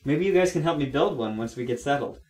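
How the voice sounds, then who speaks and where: A man's voice: on the high side, expressive, fast talker, darkish tone, close to an everyday voice. A man playing a mild, easygoing father of young children in a radio play. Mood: mild, thoughtful. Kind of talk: amateur radio play acting. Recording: roomy, echoey sound.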